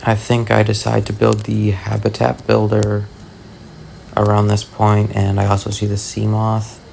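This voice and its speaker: Man, lowish pitch, heavy vocal fry, raspy tired voice, hesitant casual gameplay commentary, low-key. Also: noisy background. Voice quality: raspy, gravelly. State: tired voice after long talking, throat-clearing. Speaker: gaming video host. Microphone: voice-over recorded separately from the footage.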